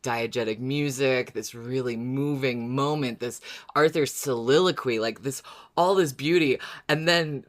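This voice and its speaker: Male co-host, medium pitch, conversational recap style with a wry, theatrical turn of phrase. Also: a little slow. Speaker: podcast co-host. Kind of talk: casual recap and discussion.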